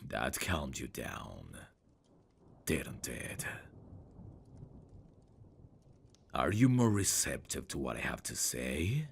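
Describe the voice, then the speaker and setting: A man acting a very deep-voiced haughty prince. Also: very animated, slight background noise, heavily acted. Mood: stern, commanding. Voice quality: gravelly, breathy low voice. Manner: formal, measured, condescending, commanding. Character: stern, haughty eldest prince, condescending royal schemer. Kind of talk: dramatic fantasy roleplay audio, ASMR.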